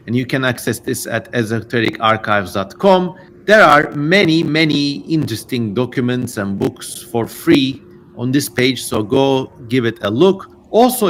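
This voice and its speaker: A male livestream host speaks in a medium-pitched voice over noticeable background noise. He reads aloud with theatrical flair and drops in casual, rambling commentary. The audio has the feel of an amateur streaming microphone. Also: a bit bright. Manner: digressive, informal asides amid theatrical reading. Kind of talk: casual livestream chat with dramatic reading and running commentary.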